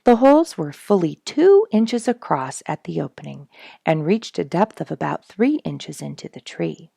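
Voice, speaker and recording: A woman reading a nature storybook aloud in an expressive, measured, dramatic storytelling manner. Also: sits low, gravelly, husky, no echo, studio quality. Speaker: audiobook reader and show host.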